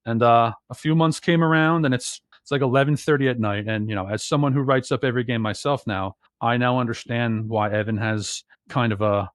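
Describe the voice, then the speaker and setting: A man from New Jersey with a loud, booming bass voice, co-hosting a casual sports podcast. He rambles and restarts his sentences, padding them with "you know." The sound is dry and close, with no room echo. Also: fairly quick, hardly any pauses. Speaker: podcast co-host.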